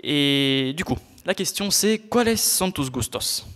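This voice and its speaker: A man's voice: fairly high pitch, animated, takes it slowly, fairly bright tone. A teenage boy acting as a sarcastic, exasperated but helpful sidekick in a student radio comedy, grumbling in slang. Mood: bossy, teasing.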